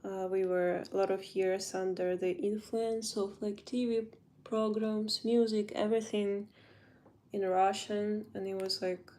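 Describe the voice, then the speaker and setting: Young Ukrainian-accented woman streaming from her phone, slow and halting as she reaches for words, faint room hum behind. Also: mid-range voice, dark tone. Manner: halting, searching for English words. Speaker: livestreamer. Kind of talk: casual livestream chat while doing makeup.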